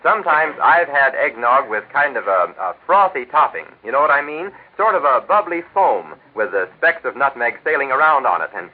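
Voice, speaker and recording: An American man sells a sponsor's product in chatty 1940s radio style. His husky voice rises and falls freely in a folksy patter. It comes through as a narrow, phone-like old broadcast. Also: medium pitch, sing-song, moderate pace, few pauses. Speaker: radio announcer and sponsor's pitchman. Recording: vintage 1940s radio broadcast, telephone-like band.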